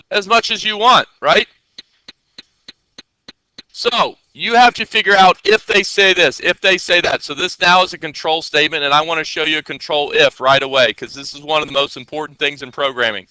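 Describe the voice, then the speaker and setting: A man's voice: a little high, sharp tone, smooth, no echo, poor audio. A man teaching coding in a casual screen-share tutorial. He talks in a loose, chatty way with many fillers, and keeps checking in with his listeners.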